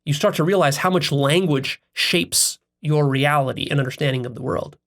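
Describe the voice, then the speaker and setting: A man with a slightly raised voice talks through ideas alone, earnest and reflective. He leans on key words and spaces them out slowly, with many "uh"s and restarted phrases along the way. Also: a little high, fairly bright tone. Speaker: self-help channel host. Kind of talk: solo philosophical monologue.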